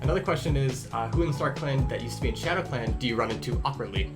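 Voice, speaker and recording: A man's voice: mid-range voice, fast talker, natural voice. A man playing a blunt, snarky young medicine cat who reads out questions and teases in casual, improvised banter. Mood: curious. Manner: teasing, casual, animated. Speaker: question-reading host. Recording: music playing behind the voice.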